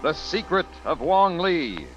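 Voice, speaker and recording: A man announcing and pitching a sponsor in a 1940s radio commercial style. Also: very animated, takes it slowly, loud music behind the voice. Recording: dry, muffled old radio broadcast.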